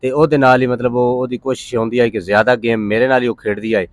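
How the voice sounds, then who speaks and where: Pakistani punjabi guy being interviewed, average pitch, plain rambly storytelling, dry sound. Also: steady tone, fast talker, no echo. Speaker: interview guest. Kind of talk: casual conversational storytelling.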